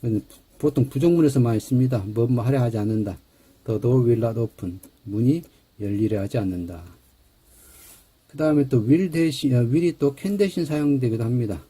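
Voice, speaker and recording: Mellow, husky-voiced male grammar lecturer. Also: medium pitch, expressive. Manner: explains step by step, reads English example sentences slowly.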